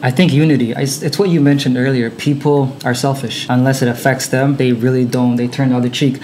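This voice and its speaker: A man's voice: mid-range voice, fairly even intonation, a little fast, a little mellow. Man, panelist, emphatic, roomy sound, faint hiss. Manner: earnest, emphatic. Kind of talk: casual panel discussion. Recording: echoey room.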